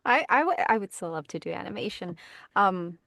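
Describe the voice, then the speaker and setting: A woman's voice: mid-range voice, lively intonation, a little fast, vocal fry, fairly bright tone, no room sound, clean studio sound. A woman who works as a voice actor, talking casually on a panel. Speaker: bilingual voice actor. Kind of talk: casual chat.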